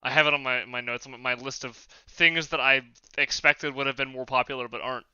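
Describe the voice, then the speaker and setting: A man's voice: mid-range voice, fairly even intonation, fairly quick, bright, forceful tone, smooth, no room sound, lo-fi sound. A man chatting casually on a podcast, giving wry, opinionated fan takes. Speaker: podcast panelist.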